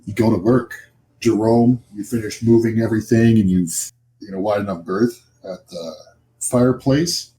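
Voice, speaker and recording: A man's voice: fairly low pitch, normal speed, mellow, a little hiss or hum, rough recording. A man narrating as game master of a tabletop horror roleplaying game, describing scenes in a conversational, improvised way. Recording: music behind the voice. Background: music.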